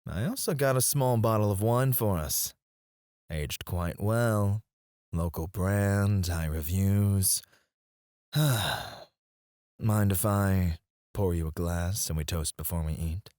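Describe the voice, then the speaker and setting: A man playing a possessive, outwardly gracious CEO in an intimate scripted ASMR roleplay, speaking in a measured, suave manner. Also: deep voice, dry recording, clean studio sound. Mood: suave, coaxing. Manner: measured, suave, soft chuckles and sighs. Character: smooth, possessive male CEO, outwardly gracious, quietly controlling.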